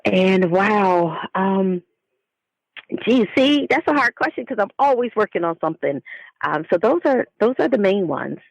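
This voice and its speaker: A woman's voice: mid-range voice, expressive, a little slow, gravelly, dry recording, highs cut off. A woman speaking as a children's author in an interview, explaining things thoughtfully in a conversational way.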